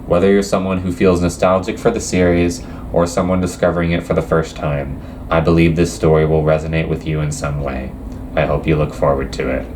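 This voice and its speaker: A man's voice: rumbling low, little pitch movement, brisk, background noise. A young man casually reacting to anime news, reading quotes aloud and rambling with lots of hesitations.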